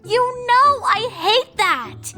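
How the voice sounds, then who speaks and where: A woman plays an excitable young unicorn mare from a storybook, with a very high, girlish pitch. She sounds studious and affectionate, quick to exclaim and fluster, with music underneath. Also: exaggerated voice. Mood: flustered, annoyed.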